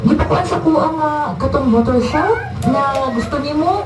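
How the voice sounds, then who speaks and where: Lady playing devoted young visayan girlfriend in radio drama, really high voice, echoey and kinda far off. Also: moderate pace, a little mellow, slight background noise, natural voice. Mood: supportive, hopeful. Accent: Filipino, Visayan. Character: loving, loyal young girlfriend of a seaman. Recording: echoey, distant, music behind.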